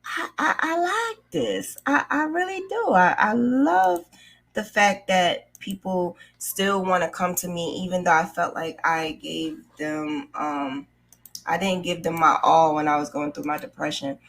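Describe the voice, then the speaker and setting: A woman's voice: fairly low pitch, a little slow, creaky, a bit bright. A woman telling a personal story casually and candidly, in a conversational way, repeating phrases for emphasis. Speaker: crochet craft vlogger and storyteller.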